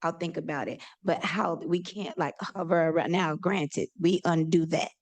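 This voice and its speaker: A woman with a loud voice asking a panel a long, earnest question full of asides. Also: fairly low pitch, fairly even intonation, moderate pace. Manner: earnest, rambling question with many "right?" asides. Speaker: audience member asking a question.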